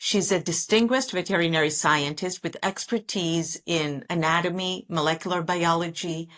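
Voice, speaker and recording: A woman's voice: fairly low pitch, moderate pace, a bit bright. A woman speaking as a podcast host, enthusiastic and complimentary as she presents and interviews. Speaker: veterinary specialist. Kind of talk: scripted introduction and interview talk.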